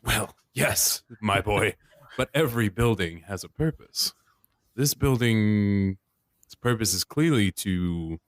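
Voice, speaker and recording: A man's voice: on the low side, no room sound. A man playing a tabletop game in character, talking in a pompous, lecturing, theatrical way.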